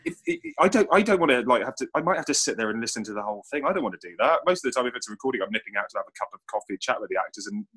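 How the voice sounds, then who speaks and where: A man talks very quickly in an informal panel conversation. His voice is somewhat husky and unpolished. He rambles, repeating and restarting phrases as he works through his thoughts. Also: medium pitch, sing-song, fairly bright tone. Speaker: audio drama writer. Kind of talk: casual panel chat. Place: remote online call.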